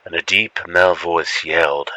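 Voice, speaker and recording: A man narrating a scripted first-person story in a dramatic, expressive storytelling manner. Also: deep voice, normal speed, crowd noise, poor audio. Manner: expressive storytelling, acts out the lines.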